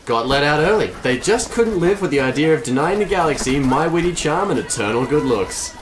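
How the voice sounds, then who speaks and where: A man's voice: fairly high pitch, expressive, fairly quick, noisy background, natural voice. A man playing a cocky, wisecracking smuggler pilot in an audio drama, glib and self-assured.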